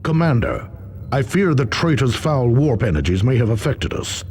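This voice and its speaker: Game sage: measured, foreboding space marine psychic male actor, middle register, sweeping inflection. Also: mid-range voice, big pitch swings, darkish tone, a little hiss or hum, lightly acted. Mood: worried. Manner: ominous, portentous, measured. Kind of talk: video game character acting.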